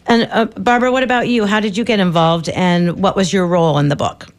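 A woman's voice: medium pitch, fairly even intonation, a little fast. A woman co-hosting an interview show, introducing guests and asking questions conversationally. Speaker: radio show co-host, interviewer.

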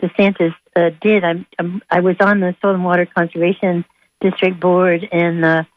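A woman calling in to a radio show over the phone, speaking off the cuff in a halting, hesitant way. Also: on the low side, little pitch movement. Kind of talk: unscripted call-in comment. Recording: tinny narrow phone line.

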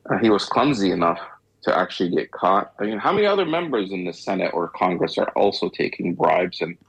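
A man's voice: low voice, sing-song, fairly quick, vocal fry, fairly bright tone, breathy edge, highs cut off. A man from Egypt co-hosting a talk radio show, discussing politics in a rambling way with frequent restarts and wry chuckles.

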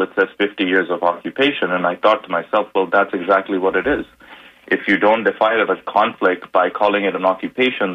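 A man, a guest journalist, explaining research findings in a radio interview, measured and full of fillers. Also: low-pitched. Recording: muffled lo-fi radio audio.

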